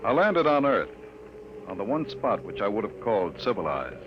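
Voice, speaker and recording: An actor playing a courteous, earnest archaeologist in a 1950s radio drama, speaking politely and precisely. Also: male voice, on the low side, very animated, moderate pace. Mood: reflective. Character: earnest, courteous male archaeologist from another world. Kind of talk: 1950s radio acting with first-person narration. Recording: dull, noisy vintage radio recording.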